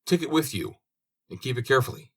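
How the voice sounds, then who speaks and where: A man reading as a merry scissors grinder from a fairy tale, sly and persuasive. His slightly husky voice moves expansively up and down as he talks up his trade. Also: medium pitch, expressive, quick pace, fairly bright tone, pitched above the performer's own voice.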